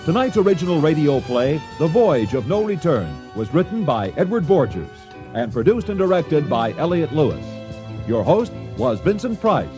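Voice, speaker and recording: An American man announces for radio theater from a script. His voice sits a little high and moves expressively, with music clearly behind it. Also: very animated, normal speed.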